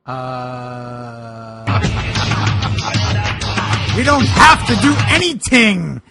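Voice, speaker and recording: A man's voice: mid-range voice, huge pitch swings, very slow, vocal fry, over loud music, exaggerated voice. A man voicing a weary, annoyed wrestler turned detective in a comic fan fiction reading. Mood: weary annoyance.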